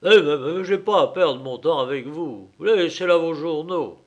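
Storybook bully in a mask: loud, jeering, commanding, read by a man. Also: on the high side, lively intonation, measured pace, muffled recording, voice pushed up. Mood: rowdy. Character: rowdy drunk masked man, bossy and mocking.

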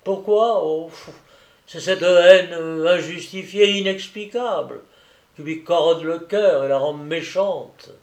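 A man's voice: sits high, takes it slowly, voice pushed up. A man voicing a tender grieving young woman. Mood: sorrowful. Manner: tender, pleading. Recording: echoey room.

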